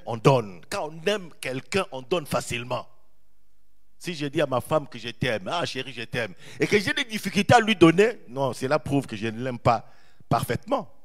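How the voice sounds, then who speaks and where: Animated preaching man, lively pitch. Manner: animated preaching, repeats phrases, draws out key words. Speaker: pastor. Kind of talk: sermon.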